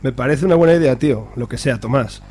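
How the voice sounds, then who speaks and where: A man playing a loyal, crude friend who talks in quick slang. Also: mid-range voice, a little mellow, natural voice.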